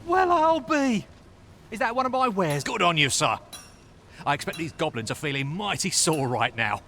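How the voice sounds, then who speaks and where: An actor playing a hearty, put-upon shopkeeper in a fantasy game, with folksy, expressive, old-fashioned speech. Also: male voice, on the high side, moderate pace, slight background noise, heavily acted. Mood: pleased, surprised. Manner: folksy, expressive, archaic phrasing. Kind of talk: scripted fantasy game dialogue.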